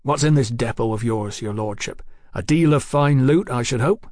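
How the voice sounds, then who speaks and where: A man's voice: lowish voice, normal speed, darkish tone, no echo, clean studio sound, lightly acted. Man acting a blunt, wary looter. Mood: wary, sly. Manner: blunt, questioning, colloquial. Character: wary rough looter, man, slyly deferential, sceptical. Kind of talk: audiobook character acting.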